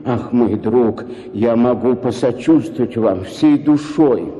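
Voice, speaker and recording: An actor playing a sentimental, fussy high official who gushes sympathy and then gives orders. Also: male voice, takes it slowly, dark tone, natural voice. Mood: effusive. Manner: effusive, sighing, fussily commanding. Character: fussy, sentimental elderly male official, the boss. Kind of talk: radio play acting. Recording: long reverb.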